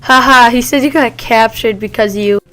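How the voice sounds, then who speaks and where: A woman's voice: a little high, moderate pace, a bit bright, close to an everyday voice. A young woman playing an eager young archer heroine about to start college, in an amateur audio drama. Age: young adult.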